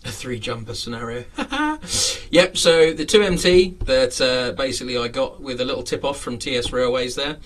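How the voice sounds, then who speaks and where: Man in his late thirties, casual live-stream host, rambling and filler-heavy, mid pitch, heard from some distance. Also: lively intonation, moderate pace, fairly bright tone. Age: 30s. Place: home model railway room.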